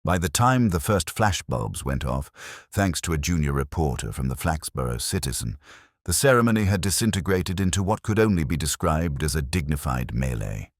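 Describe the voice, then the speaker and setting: A man reading scripted narration of a mystery story as narrator, in a measured, literary way with wry understatement. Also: very deep voice, sing-song, dry recording, clean studio sound.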